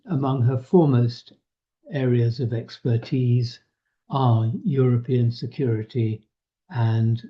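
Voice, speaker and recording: Slow, hesitant man over a dull line. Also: medium pitch. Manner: hesitant, many fillers. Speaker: chair of the committee. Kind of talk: introducing a speaker. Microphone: video call. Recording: dull lo-fi call audio.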